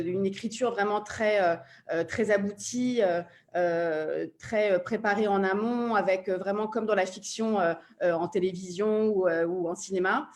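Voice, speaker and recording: A woman speaking as a panel guest, explaining her view in a hesitant way with frequent pauses. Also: medium pitch, a little mellow. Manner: hesitant, piecing thoughts together with frequent pauses. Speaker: panel guest from an audio platform.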